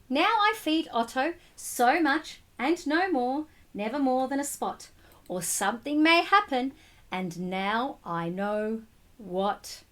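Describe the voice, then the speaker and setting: Bright, high-pitched woman reading to children. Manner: expressive, addresses children directly. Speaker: school storytime reader. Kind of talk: picture book read-aloud for children.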